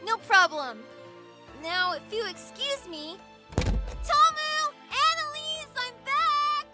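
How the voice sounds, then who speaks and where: A woman's voice: extremely high pitch, takes it slowly, pushed and bright, soft background music, exaggerated voice. A woman playing a kind, earnest kid, wise beyond their years, in an audio drama. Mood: cheerful, calling out. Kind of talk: scripted audio drama acting.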